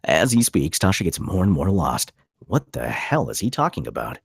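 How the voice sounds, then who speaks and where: A man's voice: deep voice, sing-song, moderate pace, gravelly, slightly rough, no room sound, clean studio sound. A man reading stage directions as dramatic scripted narration at a table read.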